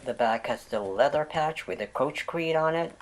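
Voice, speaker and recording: A vlog host narrating a product unboxing with a voice left raspy by a lingering cold. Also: mid-range voice, lively intonation, normal speed, slight background noise.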